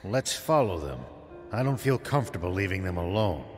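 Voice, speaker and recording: Low, grim old veteran. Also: male voice, big pitch swings, fairly quick, a little mellow. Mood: grim, uneasy. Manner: grim, terse. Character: grim, older male veteran warrior, guarded and serious. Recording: long reverb, music behind.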